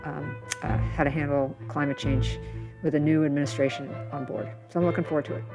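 A woman's voice: deep voice, flat delivery, a little fast, gravelly, over music. A woman co-hosting a podcast, talking in a casual, conversational way. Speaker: podcast host.

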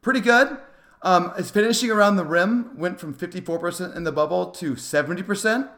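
A man with a somewhat higher-pitched voice co-hosts a sports podcast. His analysis is casual and conversational, worked out aloud as he goes. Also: fairly quick, fairly bright tone.

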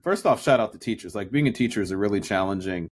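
A man co-hosting a podcast, thinking out loud in loose, casual talk. He often restarts his sentences and stretches out key phrases while he works toward his point. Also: lowish voice, expressive, quick pace, breathy edge. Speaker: podcast co-host. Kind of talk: casual discussion.

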